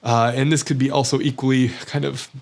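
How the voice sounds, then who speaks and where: A man with an American accent, talking in a hesitant, reflective way with many pauses and self-corrections. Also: medium pitch, little pitch movement, vocal fry. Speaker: academic vlogger. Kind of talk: casual reflective monologue.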